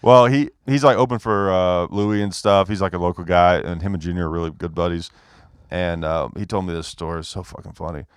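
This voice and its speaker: A man who is a guest comedian on a podcast, telling profane, animated anecdotes in casual chat. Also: deep voice, fairly quick, smooth, dry recording, clean studio sound.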